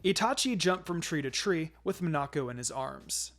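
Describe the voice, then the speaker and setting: Male narrator, higher-pitched voice, dramatic story reading, fan fiction, background music. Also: expressive, moderate pace, a bit bright.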